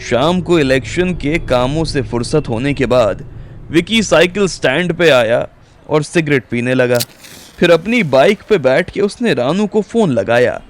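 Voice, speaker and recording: A man's voice: mid-range voice, a little hiss or hum. A man narrating a scripted audio drama story as its storyteller. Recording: music bed under the voice.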